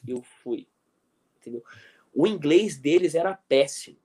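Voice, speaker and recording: A middle-aged Brazilian man with a Brazilian Portuguese accent, a guest coach telling animated anecdotes in a casual interview and often checking that the listener follows. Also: a little high, a little slow. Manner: animated anecdotes, frequent "entendeu?", chuckles.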